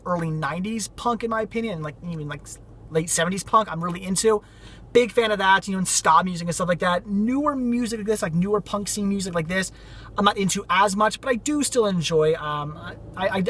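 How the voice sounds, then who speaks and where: A man chats casually about his finds, rambling and upbeat. His voice sits high and sounds bright. He mentions he is losing it to a cold. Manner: rambling, enthusiastic, repeats "very cool". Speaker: vlogger showing thrift finds. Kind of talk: casual vlog chat.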